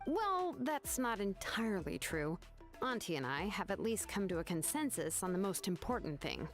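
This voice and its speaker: An actress voicing a laid-back young craftswoman who explains things in a relaxed, matter-of-fact way. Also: medium pitch, normal speed, soft background music, lightly acted. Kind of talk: video game voice acting.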